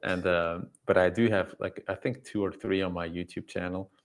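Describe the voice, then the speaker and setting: Low-voiced, talkative man. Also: steady tone, moderate pace. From Belgium. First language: not English. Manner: talkative, explains at length, emphatic repetition. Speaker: dog trainer and coach, podcast guest. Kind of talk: casual podcast conversation.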